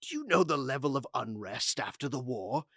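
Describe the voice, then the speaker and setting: A man's voice: medium pitch, animated, moderate pace, fairly bright tone, dry recording, studio quality. A man acting a proud, defiant vampire clan leader in an audio drama, speaking in a haughty, impassioned way. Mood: defensive. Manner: haughty, impassioned, theatrical delivery.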